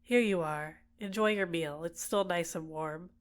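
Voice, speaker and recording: A woman playing a doting, possessive villain in an ASMR roleplay, speaking in a gentle, coaxing, soothing way. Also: lowish voice, unhurried, close to an everyday voice. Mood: teasing, doting. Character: doting, possessive female villain caring for a captive. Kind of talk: ASMR roleplay, personal attention.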